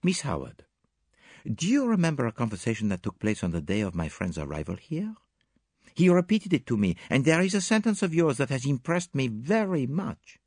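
A man voicing a calm, shrewd male detective in a storybook reading, formal, measured and gently insistent. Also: mid-range voice, breathy edge, no room sound, studio quality, voice pushed up. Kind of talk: audiobook character dialogue.

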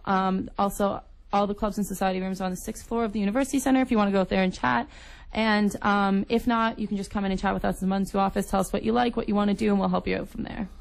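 A woman's voice: medium pitch, vocal fry, rough recording. A young adult woman speaking casually as an interview guest, explaining things for students. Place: radio studio.

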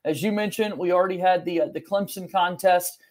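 A young man with a notably high-pitched voice hosts a sports podcast. He presents in the manner of a show host, recorded indoors in a small home room. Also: brisk. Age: young adult. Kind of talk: sports podcast hosting and sponsor read.

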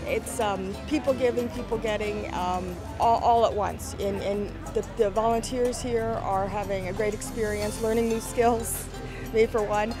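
Flat-voiced woman reflecting. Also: medium pitch. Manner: reflective, halting with fillers. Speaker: county volunteer. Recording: music behind.

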